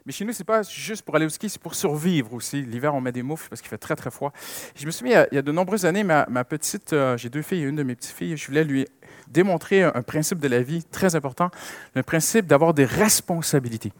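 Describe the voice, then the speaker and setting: A man's voice: a little high, expressive, fast talker, dry recording. A man with a French Canadian accent preaching a sermon with personal stories, emphasizing and repeating key phrases.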